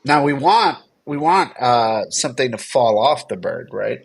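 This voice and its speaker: Husky man bantering as co-host. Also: mid-range voice, animated, a little slow. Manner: teasing, wry. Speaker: livestream co-host. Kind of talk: casual chat and banter.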